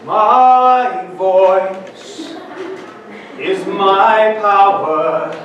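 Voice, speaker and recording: A man's voice: high-pitched, highs cut off, a little hiss or hum. Man speaking from far in an echoey hall. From Denver, Colorado. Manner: dramatic, slow, swings loud and soft, bursts into song. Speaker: poet and speaker at a conference. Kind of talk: spoken word poetry and talk. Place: large conference hall.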